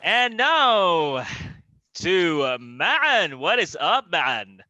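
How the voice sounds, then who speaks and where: A man hosting an online contest with energetic, cheering delivery. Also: sits high, very slow, no echo, lo-fi sound. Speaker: host. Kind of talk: hyped live-stream hosting.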